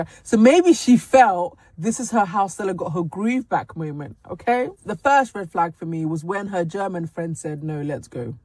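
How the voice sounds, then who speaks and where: A woman's voice: lowish voice, darkish tone, dry recording. Sassy woman host, dry close sound. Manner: sassy, emphatic, breaks points down. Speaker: commentary host. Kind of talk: reaction commentary.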